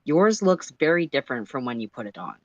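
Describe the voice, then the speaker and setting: A mid-pitched narrator reads fiction aloud for a livestream, expressive and informal. The sound is dry and close, with little room in it, and the delivery drifts between storytelling and offhand self-correction. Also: brisk, no room sound, muffled recording. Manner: animated second-person storytelling, stumbles and self-corrects. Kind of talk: expressive story reading with casual asides.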